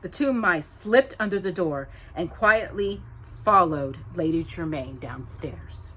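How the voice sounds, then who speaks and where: A woman's voice: lowish voice, animated, unhurried, fairly bright tone, a little hiss or hum. A woman reading a children's story aloud with dramatic, emphatic narration. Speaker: storytime reader.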